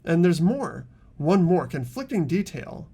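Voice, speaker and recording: A man voicing an earnest, polite teenage boy detective in a game, reasoning aloud in a deliberate, explanatory way. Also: fairly high pitch, sing-song, warm tone, husky, exaggerated voice. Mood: reasoning, firm. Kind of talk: amateur game voice acting.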